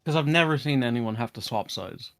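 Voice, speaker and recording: A man's voice: medium pitch, expressive, brisk, fairly bright tone. A man chatting casually with gaming friends, hesitant and agreeable, hedging his statements.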